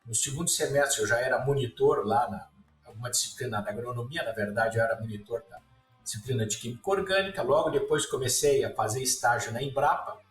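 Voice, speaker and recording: A man's voice: mid-range voice, fairly quick. Gaúcho man in an echoey room. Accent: Gaúcho Portuguese. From southern Brazil. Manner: relaxed, detailed storytelling with lists and restarts. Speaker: guest researcher. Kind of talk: casual interview storytelling. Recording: echoey room, somewhat far from mic, faint music.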